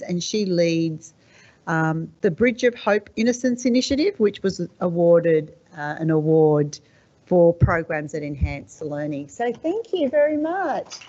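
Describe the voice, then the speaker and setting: A woman's voice: fairly low pitch, darkish tone. A woman facilitating a panel, reading introductions and asking questions in a friendly way. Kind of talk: moderating a panel discussion. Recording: muffled lo-fi sound.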